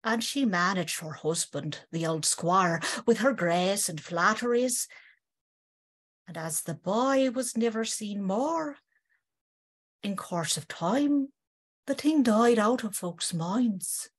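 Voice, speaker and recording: Husky, swooping old servant storyteller played by a woman. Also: mid-range voice, expressive. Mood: ominous, confiding. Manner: old-fashioned rustic phrasing, dramatic pauses. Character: knowing, gossiping older female servant, secretive storyteller. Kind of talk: gothic audio drama storytelling.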